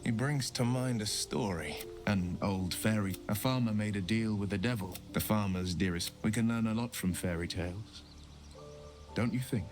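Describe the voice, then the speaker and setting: A man's voice: lowish voice, moderate pace, over music, natural voice. A man playing a knowing storyteller in a video game, telling a tale as a warning. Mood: knowing, cautionary. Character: cautionary male storyteller warning of devilish bargains. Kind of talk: video game character acting.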